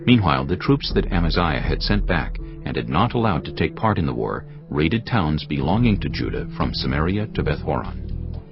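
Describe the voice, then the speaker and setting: A man narrating a dramatised scripture reading in a measured, solemn way. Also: extremely low pitch, big pitch swings. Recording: slightly muffled with soft music.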